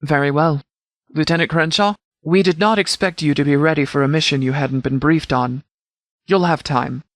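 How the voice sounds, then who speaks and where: An actor playing a stern but caring military commander in a video game, speaking in a measured, formal, authoritative way. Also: male voice, on the high side, no room sound, studio quality, close to an everyday voice. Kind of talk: scripted game dialogue.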